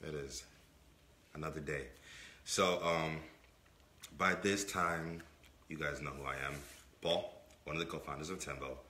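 A man's voice: a bass voice, steady tone, measured pace, pauses often, bright, forceful tone, a little hiss or hum. A man in his twenties co-hosting a casual podcast, emphatic and repeating himself, his voice a bit under the weather. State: under the weather, voice not fully clear. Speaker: podcast co-host. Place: indoor room with brick and wood-panel wall. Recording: echoey room.

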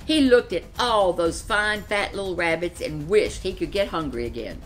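An older woman in her seventies reading a children's picture book aloud in an expressive storytelling style. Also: on the low side, normal speed, faint music behind. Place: furnished living room, seated in an armchair.

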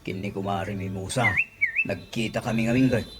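A man's voice: fairly low pitch, fairly even intonation, a little fast, very noisy, lightly acted. A man playing a gossipy, defensive middle-aged village father in an Ilocano comedy radio drama, with a Filipino Ilocano accent. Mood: casual, sly.

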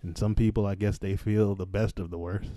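A man's voice: fairly low pitch, fairly even intonation, brisk, mellow, dry recording. A man in casual, profane podcast banter, teasing and mock-exasperated, on a close microphone. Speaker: podcast co-host. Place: small room around a table, indoors.

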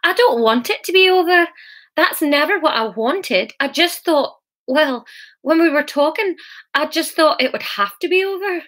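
A woman's voice: high voice, expressive, normal speed, fairly bright tone, pitched above the performer's own voice. A woman voicing a heartbroken man in an audiobook, pained and defensive as he pleads. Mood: pained, pleading.

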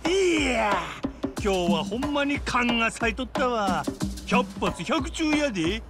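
An actor playing a cocky, playful middle-aged yakuza with a thick Kansai accent and a swaggering, singsong drawl. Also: male voice, extremely high pitch, big pitch swings, slow, loud music behind the voice, exaggerated voice. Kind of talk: flamboyant video game character acting.

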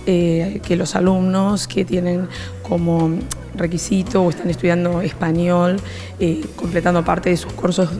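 A middle-aged woman with an Argentine Spanish accent explains a language program, steady and measured, often pausing on "eh". Her voice sits low with a gravelly edge. She speaks into a handheld mic in a classroom. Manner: measured, with frequent "eh" fillers. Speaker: program coordinator. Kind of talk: explanatory interview. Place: classroom with students behind. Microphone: handheld reporter mic.

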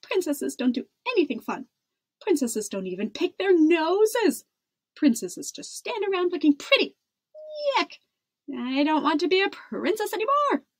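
A woman's voice: high-pitched, very animated, normal speed, slightly rough, full character voice. A woman voicing a stubborn, rebellious young princess in a storybook, whining emphatically. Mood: indignant. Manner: whiny, emphatic, defiant. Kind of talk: storybook character voice.

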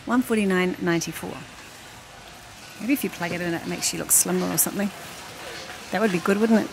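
A woman's voice: on the low side, brisk, vocal fry. A woman, chatting to the camera while shopping and reading out prices as she goes. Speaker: vlogger. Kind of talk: shop-with-me vlog narration. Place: large warehouse store, indoors. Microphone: handheld phone camera. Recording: noisy warehouse store ambience. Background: store ambience.

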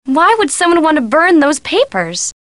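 A woman's voice: high voice, very animated, moderate pace, fairly bright tone, dry recording. An actress playing a perky, playful young friend of a girl detective, giving eager, chummy hints in a video game. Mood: excited, curious.

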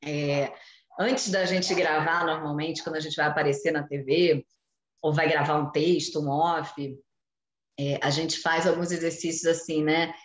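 A woman in her forties, a journalist guest, explaining in a lively way during a video-call interview from home. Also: low-pitched, a little slow. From Brazil. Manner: animated, explanatory, gestures while talking. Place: home room with shelves. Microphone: video call webcam. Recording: echoey room on video call.

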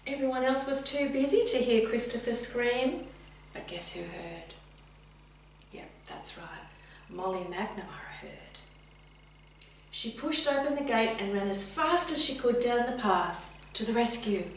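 A middle-aged woman reading a children's story expressively and deliberately, addressing young listeners directly. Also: a little high. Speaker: teacher reading a picture book. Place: indoors, sitting room with bookcase. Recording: very echoey room, distant mic, faint hum.

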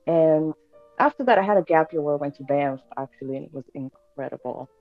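A woman in her thirties with a low voice, born in Bulgaria, tells her story in a relaxed, rambling way with plenty of fillers and restarts. She sits in a room at home, and the sound comes through thin and phone-like from a video call. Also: brisk, faint music behind. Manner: chatty, many fillers and restarts. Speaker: guest pianist. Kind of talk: casual interview chat. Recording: tinny video call audio.

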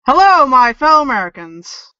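A high, bright-voiced teenage girl acted by a woman is glib and snarky in an audio drama. Her pitch swings about as she dodges and quips. The sound is slightly muffled. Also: very animated, normal speed, clear, resonant tone, exaggerated voice. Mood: flippant. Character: flippant, evasive, snarky teenage tech girl.

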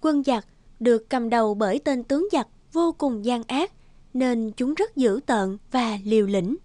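A woman's voice: on the high side, a little slow, dark tone, no room sound. A woman reading scripted storybook narration as the narrator of a folktale.

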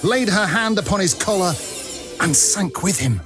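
A man's voice: high voice, lively intonation, moderate pace, loud music behind the voice. A man, narrating a story in a dramatic storybook style.

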